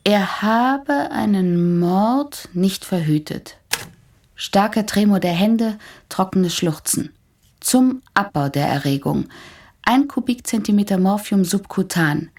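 An actress playing a sober, precise psychiatric doctor in a radio play, speaking with clinical deliberation. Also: female voice, mid-range voice, a little mellow, distant mic. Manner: clinical, clipped dictation with deliberate pauses.

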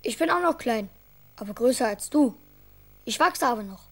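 Small boy: high voice, earnest, caring, chatty, for a children's radio play. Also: lively intonation, moderate pace. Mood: patient. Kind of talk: children's radio drama acting.

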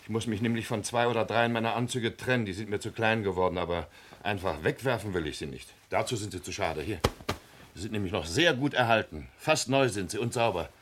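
A man's voice: lowish voice, fairly quick, fairly bright tone, close to an everyday voice. A man playing a middle-aged father in a radio play, coaxing and persistent, a little awkward. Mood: coaxing, insistent. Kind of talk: German radio play acting. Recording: clear background noise, old radio recording.

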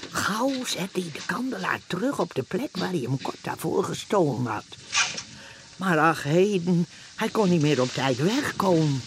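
Wry narrator, comic radio play, scripted storytelling, higher male voice, hissy old recording. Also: big pitch swings, measured pace, full character voice.

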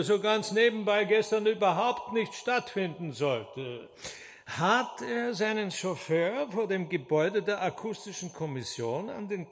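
A sly, high-pitched showman trickster, slow and swooping, oozing false politeness. The radio-play voice rings out through a long hall reverb. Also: full character voice. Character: impudent mocking male stage conjurer's henchman, mock-servile trickster. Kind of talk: radio drama acting. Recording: long echo of a theatre hall.

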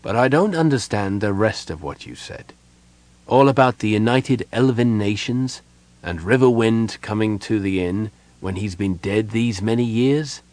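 Man doing a soft, breathy, fatherly old man. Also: lowish voice, no echo, lightly acted. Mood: puzzled, kindly. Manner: soft, halting, earnest. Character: big gentle old innkeeper, fatherly, frail and failing.

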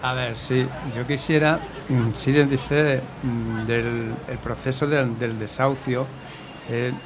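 A man giving his view in a discussion, haltingly, often repeating words and phrases. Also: mid-range voice, steady tone, over music. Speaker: panel participant. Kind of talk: unscripted discussion. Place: outdoors on a busy city street, live. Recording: clear outdoor city noise.

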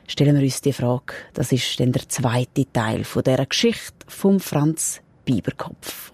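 A woman with a Swiss accent presenting on radio, announcing credits and programme details in measured, deliberate phrases. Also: a bass voice, mellow, slightly rough, no echo.

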